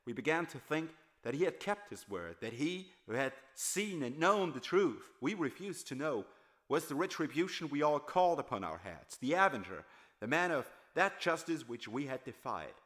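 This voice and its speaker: A man playing a weary homeless former factory worker in an audiobook, confessing his memories in a halting, solemn way. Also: fairly high pitch, sing-song, a bit bright, pitched above the performer's own voice. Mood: solemn, haunted. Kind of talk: dramatized audiobook monologue.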